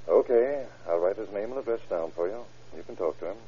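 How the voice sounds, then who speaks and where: An actor playing a reserved, matter-of-fact bank manager in an old radio crime drama. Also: male voice, on the low side, expressive, fairly quick, mellow, husky. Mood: cautious. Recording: muffled lo-fi old radio recording.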